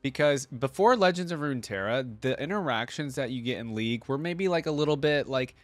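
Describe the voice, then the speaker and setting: A man's voice: mid-range voice, animated, brisk, no room sound. A man hosting a reaction video, giving casual, appreciative commentary and musing aloud.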